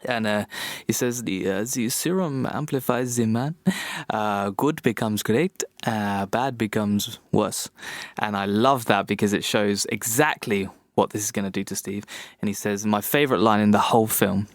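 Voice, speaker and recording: A man's voice: on the low side, moderate pace, no room sound, studio quality. A man co-hosting a podcast from home over an internet call, retelling film scenes eagerly and apologising as he goes. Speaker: podcast co-host. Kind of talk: casual chat about a film. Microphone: home mic on a video call.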